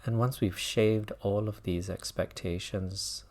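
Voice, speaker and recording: A man leading a guided mindfulness meditation as a teacher, speaking gently with long pauses between phrases. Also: on the low side, steady tone.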